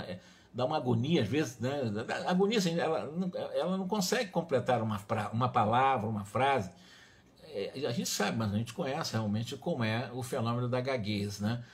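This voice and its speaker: A man with a Brazilian accent giving a religious study talk, reading passages and explaining them in a didactic, emphatic way with frequent rephrasing. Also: mid-range voice. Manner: didactic, emphatic, often repeats and rephrases with check tags. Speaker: spiritist lecturer.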